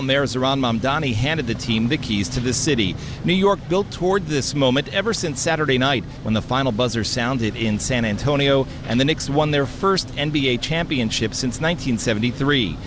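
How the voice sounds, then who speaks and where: A man's voice: mid-range voice, fairly quick. A man delivering a scripted news report from outdoors in a city. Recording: clear outdoor city traffic noise.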